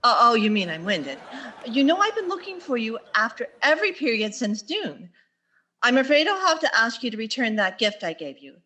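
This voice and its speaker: A woman playing a wry, flustered English teacher in an old-time radio sitcom, theatrical and quick with wisecracks. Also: a little high, very animated, pushed and bright, heavily acted. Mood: flustered, urgent. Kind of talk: 1940s radio sitcom acting on stage.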